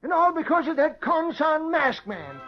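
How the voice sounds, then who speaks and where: An actor in a 1940s radio western playing an excitable, cantankerous cowhand with folksy, sputtering talk. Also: male voice, extremely high pitch, moderate pace, loud music behind the voice, heavily acted. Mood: dismayed, grumbling. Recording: dull vintage radio recording.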